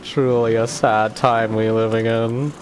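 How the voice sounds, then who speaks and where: A woman giving casual gameplay commentary as a streamer, speaking in a wry, halting manner. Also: extremely low pitch, steady tone, background noise. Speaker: game streamer. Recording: game music behind.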